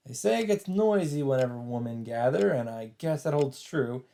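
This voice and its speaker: A man's voice: mid-range voice, lively intonation, normal speed, creaky, warm tone. A man doing casual let's play commentary, reacting aloud and reading game text out in English. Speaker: let's player. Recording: music playing behind. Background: game music.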